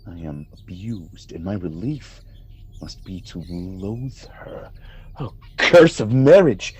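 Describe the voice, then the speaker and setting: An actor playing a noble general sliding into anguished jealousy, declaiming Shakespearean verse for a radio play in a husky voice. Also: male voice, low voice, lively intonation, slow pace, a little mellow, heavily acted. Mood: brooding anguish. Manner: theatrical, declamatory verse delivery.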